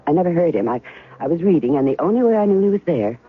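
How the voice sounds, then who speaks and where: An actress playing a guarded, skeptical ex-wife in a 1970s radio drama, speaking in a measured, wry way with some hesitations. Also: female voice, on the low side, very animated, husky. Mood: uneasy, reflective. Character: guarded ex-wife recounting a spy rendezvous, coolly skeptical. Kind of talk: 1970s radio drama acting with first-person narration. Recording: tinny band-limited 1970s radio, faint music.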